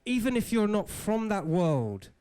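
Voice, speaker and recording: Man, high-pitched voice, show host, friendly banter, loose and chatty. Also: big pitch swings, a little slow, a little mellow, clean tone. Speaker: podcast host. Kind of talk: casual live interview chat.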